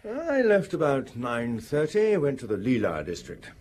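An actor playing a defensive, smooth-talking salesman under suspicion in a radio drama, guarded in manner. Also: mid-range voice, huge pitch swings, a little slow, a little mellow. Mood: guarded politeness.

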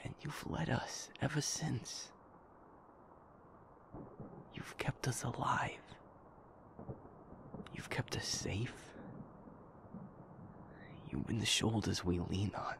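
A man playing a loyal, protective packmate in an emotional audio drama, speaking with halting, insistent earnestness. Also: fairly low pitch, creaky.

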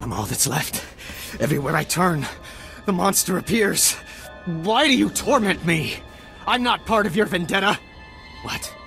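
An actor playing a frightened, bitter Jedi apprentice in a video game, agitated and dramatic, moving between dread and defiance. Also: male voice, sits high, expressive, normal speed, gravelly, over music. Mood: panicked despair.

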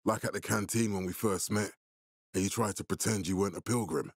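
An actor playing a menacing middle-aged military leader in a video game, authoritative, curt and measured. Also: male voice, low-pitched, husky, no room sound, clean studio sound. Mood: stern, controlled. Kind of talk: dramatic video game voice acting.

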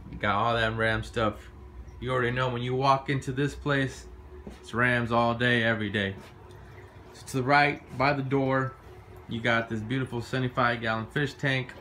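A man's voice: mid-range voice, sharp tone, a little hiss or hum. A man, giving a laid-back, boastful tour of his bedroom, filmed on a handheld phone. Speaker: vlogger giving a room tour. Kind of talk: casual filmed room tour. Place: small bedroom at home, indoors. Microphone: handheld phone camera.

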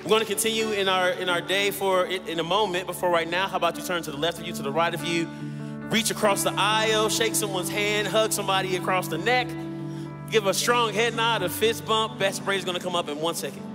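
A man's voice: high voice, fairly even intonation, fast, over music. A man in his thirties leading worship on a church stage, upbeat and encouraging the congregation. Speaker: worship leader. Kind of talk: exhorting a congregation between songs. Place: large church stage indoors. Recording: reverberant church hall with band playing.

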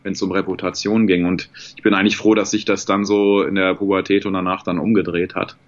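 Male guest, forties, low voice, reflective and hesitant, muffled home video-call sound. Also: flat delivery, a little fast. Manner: reflective, thinks aloud with many fillers and restarts. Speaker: guest voice actor. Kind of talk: casual video-call interview. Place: home room with bookshelves.

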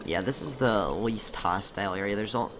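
Young guy rambling thru a game, lowish voice, a bit rough from being sick, game noise behind. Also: animated, normal speed. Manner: chatty, muttering to himself while playing. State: slightly rough voice from recent illness. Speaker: gameplay commentator. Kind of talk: casual let's-play commentary.

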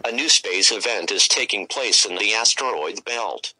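A man's voice: lowish voice, moderate pace, sharp tone, slight background noise, rough recording. A man delivering scripted, ominous emergency broadcast announcements in the role of an alert announcer.

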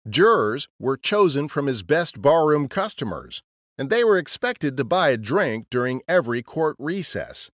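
A man's voice: a little high, big pitch swings, normal speed, a bit bright, dry recording, studio quality. A man narrating a scripted history story as a podcast storyteller.

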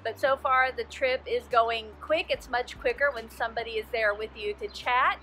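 A woman's voice: fairly high pitch, steady tone, moderate pace, pushed and bright, slight background noise, low-quality recording. A woman in her forties, a craft vlogger, chatting in a friendly, upbeat way into a phone held at arm's length. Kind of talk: casual vlog chat and voice-over narration. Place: inside a car and outdoors at a rest area.

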